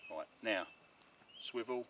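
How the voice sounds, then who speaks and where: A middle-aged man chatting casually and haltingly as he explains his fishing gear, repeating words as he goes. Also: lowish voice. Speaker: angler demonstrating his fishing rig. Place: outdoors on a pebbly shore beside bare bushes. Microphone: camera a few metres away. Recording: noisy outdoor recording, distant from mic.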